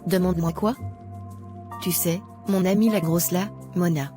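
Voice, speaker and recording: Woman playing a preachy, judgmental young woman, low soft-toned voice. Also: steady tone, a little slow, lightly acted. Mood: smug, gossipy. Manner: preachy, lecturing. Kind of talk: scripted cartoon dialogue. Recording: music behind the voice.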